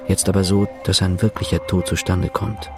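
Radio drama prisoner, male actor: low register, introspective and bitter, volatile temper, denies his identity. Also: level tone, music in the background, lightly acted. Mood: brooding, confessional. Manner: measured, reflective narration breaking into furious shouting. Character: prisoner denying his identity, brooding, bitter, given to outbursts. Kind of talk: German radio play, first-person narration and acted dialogue.

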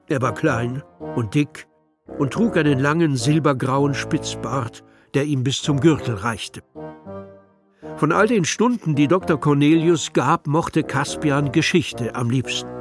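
A man narrating a children's radio play as a scripted story. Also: on the high side. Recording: music under the voice.

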